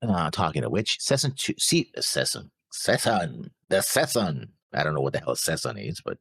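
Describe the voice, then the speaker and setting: Very deep, husky, gravelly man. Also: very animated, moderate pace, a bit bright, no room sound. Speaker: reaction show co-host. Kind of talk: casual discussion, reading out notes.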